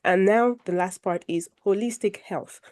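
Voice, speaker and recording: A woman moderating an online health discussion over a video call, getting over a cold and a little croaky, making formal introductions with light self-deprecating humor. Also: medium pitch, measured pace, darkish tone. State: recovering from a cold, a bit croaky. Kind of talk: hosting a video call panel, presenting slides.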